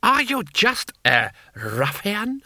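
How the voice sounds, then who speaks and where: A man's voice: very high voice, lively intonation, a little slow, sharp tone, no room sound, studio quality, pitched above the performer's own voice, heavily acted. A man voicing a furious, haughty warlord in an audiobook, screaming imperious orders. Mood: outraged.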